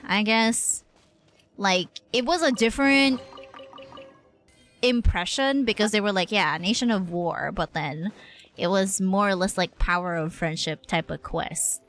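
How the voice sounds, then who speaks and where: A woman's voice: medium pitch, measured pace, fairly bright tone. A woman livestreamer giving casual, chatty, slangy commentary while she plays a game. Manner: chatty, slangy, lightly swearing. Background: game music and dialogue.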